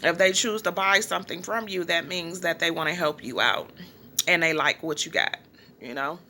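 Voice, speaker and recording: A middle-aged woman and small business owner talking casually to her viewers in a rambling way, with many fillers and pauses. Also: lowish voice, bright, forceful tone, a little hiss or hum. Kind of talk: casual vlog monologue.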